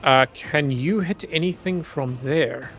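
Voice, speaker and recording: A man's voice: fairly high pitch, a little slow, fairly bright tone, soft background music. A man from Zimbabwe streaming a game, chatting easily with his viewers and laughing often while he plays. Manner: easygoing, chatty, laughs often, talks to chat. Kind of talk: casual gameplay commentary.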